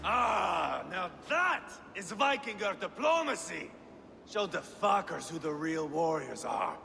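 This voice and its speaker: Gravelly, taunting Viking warrior. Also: male voice, high voice, big pitch swings, slow pace, a little hiss or hum, full character voice. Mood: savage challenge. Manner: taunting, brash, swearing. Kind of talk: dramatic video game acting. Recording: long hall reverb, loud music.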